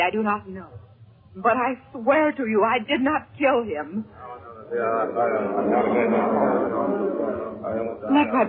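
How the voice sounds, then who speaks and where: Actress as distraught captive woman, high-pitched, old radio drama. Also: natural voice. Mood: desperate pleading. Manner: melodramatic, earnest. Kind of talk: 1930s radio serial acting. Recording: scratchy, muffled 1930s radio recording.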